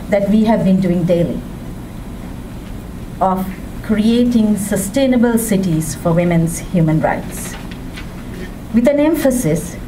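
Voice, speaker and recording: A woman from Sri Lanka giving a public speech as a women's rights advocate, with a measured, deliberate delivery and emphatic rises. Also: mid-range voice. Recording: distant mic with background noise.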